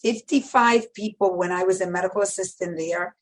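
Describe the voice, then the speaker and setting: A woman's voice: fairly low pitch, brisk, low-quality recording. A middle-aged woman with a Dominican Spanish accent, telling her personal story emphatically and repeating phrases for weight. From Dominican Republic. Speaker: podcast guest, medical center executive.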